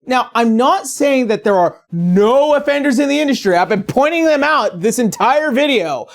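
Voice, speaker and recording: A woman's voice: a little high, animated, normal speed, vocal fry. A woman delivering scripted, opinionated commentary as a video essayist, in a sarcastic, blunt and profane manner.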